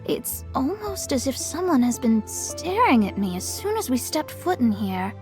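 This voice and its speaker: High-voiced young mystic fortune-teller. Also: female voice, very animated, moderate pace, over music. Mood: serious, intrigued. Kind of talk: video game character acting.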